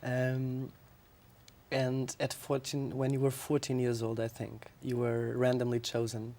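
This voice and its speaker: Man, native Portuguese speaker, TV interviewer, halting questions, fillers, mid pitch. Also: completely flat delivery, mellow. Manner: hesitant, halting phrasing with fillers. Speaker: television interviewer.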